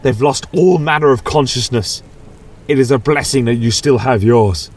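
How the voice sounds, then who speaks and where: A man's voice: mid-range voice, moderate pace, voice pushed up, lightly acted. A man playing an earnest, principled mage mentor who speaks in theatrical, pleading oratory in an audio fantasy tale. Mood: grave pleading.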